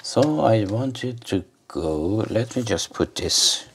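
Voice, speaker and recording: Low, slow-talking man demonstrating a gadget. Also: sing-song, a little hiss or hum. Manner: rambling, hesitant. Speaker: gadget reviewer. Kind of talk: casual unscripted product demonstration.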